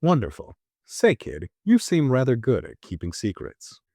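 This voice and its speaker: A man voicing a jovial, sly train conductor in an audio drama, smooth-talking and theatrical. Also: mid-range voice, wildly swooping pitch, normal speed, warm tone, no echo, clean studio sound, voice pushed down, exaggerated voice. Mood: teasing, menacing. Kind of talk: audio-drama character dialogue.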